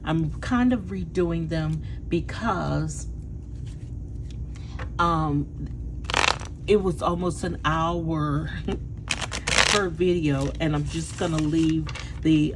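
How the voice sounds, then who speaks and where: A woman with a hoarse, fading voice who gives a casual tarot reading in a halting way, with fillers and restarts. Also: sits low, fairly even intonation, background noise. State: hoarse, losing her voice. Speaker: tarot reader.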